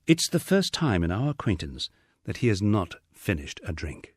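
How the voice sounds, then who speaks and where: A man's voice: lowish voice, sing-song, moderate pace, loud music behind the voice. A British man narrating a humorous memoir in a wry, dry storytelling manner. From United Kingdom. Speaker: audiobook narrator.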